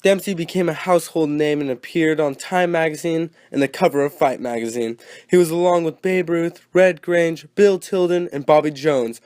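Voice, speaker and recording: An eager sports co-host from a 1920s radio show, acted by a man. His voice is fairly high and recorded very dry. He is earnest and peppy, with a teasing streak and plenty of old-time slang. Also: a little mellow, dry recording, natural voice. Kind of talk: 1920s radio broadcast acting with period slang.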